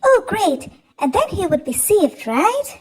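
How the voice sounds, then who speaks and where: Tiny storybook bird: extremely high, swooping voice, eager and easily alarmed, gasping questions. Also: female voice, very animated, measured pace, exaggerated voice. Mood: hopeful, eager. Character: excitable, anxious small female parrot or myna bird. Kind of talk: acted storybook dialogue.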